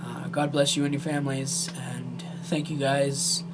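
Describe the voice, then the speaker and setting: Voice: mid-range voice, level tone, a little slow, loud music behind the voice, poor audio. An amateur video creator speaking directly to viewers in an earnest, emotional and hesitant way.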